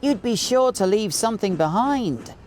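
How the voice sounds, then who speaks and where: A man's voice: sits high, animated, normal speed, a little mellow, a little hiss or hum, pitched above the performer's own voice. A man voicing mocking, know-all railway engines in a teasing way for a children's story.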